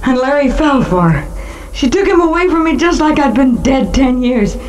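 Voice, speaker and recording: An actress playing a young, jilted and nervy gangster's moll in a 1950s radio noir drama, slangy and emotional. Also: female voice, high voice, big pitch swings, moderate pace, darkish tone. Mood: bitter. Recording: noisy old 1950 radio recording.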